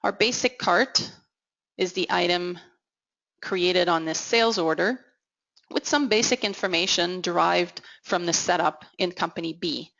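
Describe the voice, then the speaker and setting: Woman, low-pitched, gravelly fry, measured software tutorial narration, dull lo-fi recording. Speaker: technical consultant presenting a software demo. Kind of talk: instructional software walkthrough narration. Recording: dull, lo-fi audio. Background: voice-over for a screen recording.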